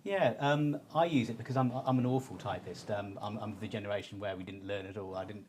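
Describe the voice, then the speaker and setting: A middle-aged man presenting informally on a webinar, speaking haltingly with pauses. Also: lowish voice, a little mellow, a little hiss or hum. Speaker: panelist presenting research.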